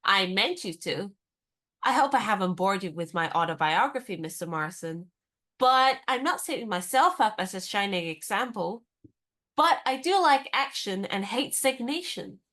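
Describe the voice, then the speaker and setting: A spirited young American heroine of a radio comedy, acted by a woman. She has a mid-pitched voice and a brisk, forthright manner. She sounds independent and encouraging, as if nothing daunts her. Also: expressive, a bit bright. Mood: spirited, direct. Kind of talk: radio play comedy dialogue.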